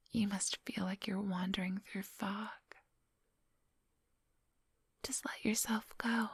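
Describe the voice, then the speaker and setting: A woman's voice: mid-range voice, slightly rough, no room sound, full character voice. A woman playing a coolly hypnotic, controlling female vampire in an ASMR roleplay, whispering breathily in a condescending, coaxing and commanding manner, close to the microphone. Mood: mocking, coaxing. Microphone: close, dry microphone.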